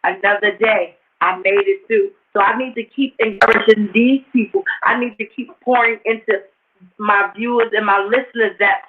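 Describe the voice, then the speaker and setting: Phone-thin, raspy woman giving a motivational talk. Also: medium pitch, fairly even intonation, normal speed. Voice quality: raspy, slightly hoarse. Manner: emphatic, repeats phrases for emphasis. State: a little hoarse. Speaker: host of a motivational talk show. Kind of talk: informal motivational livestream talk to viewers. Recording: tinny, phone-like narrow-band livestream audio.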